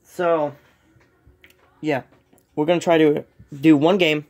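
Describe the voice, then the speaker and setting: A man's voice: on the high side, steady tone, slow pace, a little mellow. A young man with a slight cold, casually commenting while he plays a game at home, rambling and self-deprecating with many ums. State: sniffly with a cold. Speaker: gaming channel host. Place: dark room at home, filming a TV screen.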